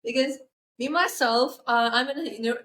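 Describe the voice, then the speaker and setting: A young woman in her final year of university hosting a podcast, asking questions in halting, filler-heavy English with eager agreement. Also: sits high, a bit bright. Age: young adult. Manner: enthusiastic, halting non-native English with many fillers. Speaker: podcast host. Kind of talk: casual interview chat.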